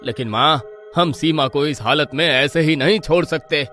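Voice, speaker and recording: A man acts a determined young husband in a story drama. The voice is sharp and fairly high, earnest and firm. Faint music plays behind. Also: moderate pace. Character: determined, worried young village husband and son.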